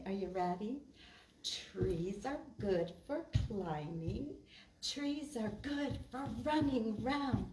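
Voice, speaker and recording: Female preschool storytime reader, very unhurried, expressive and simple delivery for little kids, roomy sound. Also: a little high, a little hiss or hum. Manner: expressive, simple talk addressed to small children. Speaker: preschool storytime host at a library. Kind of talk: children's storytime, reciting rhymes and reading a picture book aloud. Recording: roomy echo, distant mic.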